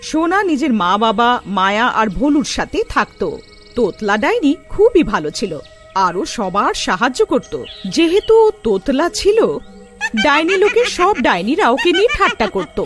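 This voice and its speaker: A woman's voice: high-pitched, sing-song, moderate pace, over music. A woman narrating a scripted children's story as a storyteller.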